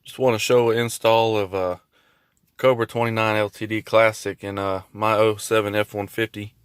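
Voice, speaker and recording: A man's voice: lowish voice, little pitch movement, moderate pace, smooth, dry recording, clean studio sound. A man giving a casual, hands-on video walkthrough in a laid-back, folksy way. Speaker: hobbyist vlogger showing a truck install.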